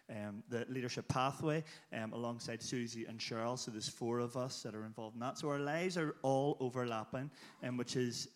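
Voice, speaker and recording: A man, a church leader introducing and interviewing a guest in a hesitant, casual way. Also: mid-range voice. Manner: hesitant, with many fillers and restarts.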